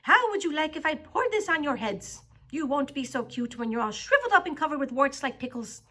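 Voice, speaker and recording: Woman voicing a sharp, short-tempered schoolteacher, animated and high. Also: quick pace. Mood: mocking, menacing. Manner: sharp, bossy, mocking. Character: short-tempered female schoolteacher, snapping and menacing. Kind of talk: children's storybook character voice.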